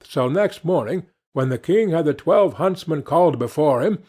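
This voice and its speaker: A man's voice: fairly high pitch, lively intonation, normal speed, darkish tone, husky. A man narrating fairy tales from a script as an audiobook reader.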